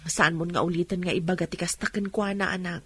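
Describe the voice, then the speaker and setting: A woman's voice: on the low side, fairly even intonation, quick pace, pushed and bright, breathy edge. An actress playing a patient, humble, soothing mother in a radio comedy-drama. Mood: soothing, gently chiding.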